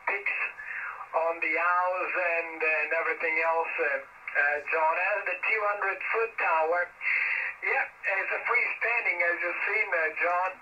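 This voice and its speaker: A man's voice: high voice, steady tone, measured pace, slight background noise. A man on a ham radio contact, talking hesitantly with many fillers about his equipment. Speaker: radio amateur on air. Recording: distant muffled shortwave radio.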